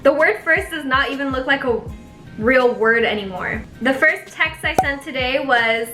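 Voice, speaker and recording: A woman's voice: high-pitched, normal speed, reverberant, over music. A young woman vlogging in a casual, chatty way, full of fillers and giggly asides. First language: Spanish. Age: young adult. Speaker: YouTuber. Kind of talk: casual vlog chat.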